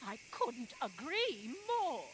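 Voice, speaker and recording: High, slow, melodramatic lady soprano. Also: big pitch swings, fairly bright tone, slight background noise, heavily acted. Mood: wounded, flustered. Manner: affected, quavering, theatrical. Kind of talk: video game dramatic dialogue.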